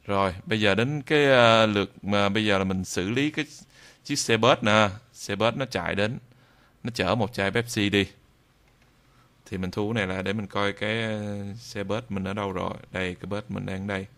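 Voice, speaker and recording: A man narrating an informal software tutorial in a halting way, with frequent drawn-out hesitations. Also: on the low side, fairly even intonation, clear, resonant tone. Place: indoors at a computer, screen recording voice-over.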